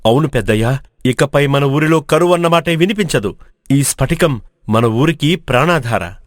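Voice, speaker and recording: A man's voice: medium pitch, expressive, moderate pace, no echo, studio quality, close to an everyday voice. A man playing a brave, resolute village hero in an audio storybook, speaking in an earnest, commanding way. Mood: proud, relieved. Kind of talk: dramatic audio storybook acting.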